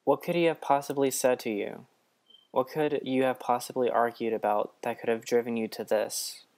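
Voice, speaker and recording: A smooth-talking male trickster god in a radio-play tale, played by a man. He is wry, coaxing and conciliatory, with a mid-pitched voice raised above the narration. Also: steady tone, moderate pace, a little mellow, natural voice.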